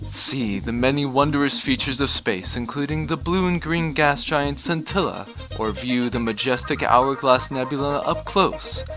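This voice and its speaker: A man's voice: mid-range voice, a little slow, hardly any pauses, a bit bright. A man playing a polished, promotional cruise line announcer in a scripted parody commercial. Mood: smooth selling. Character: slick male pitchman for a space cruise line. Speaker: advertisement announcer. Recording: music behind the voice.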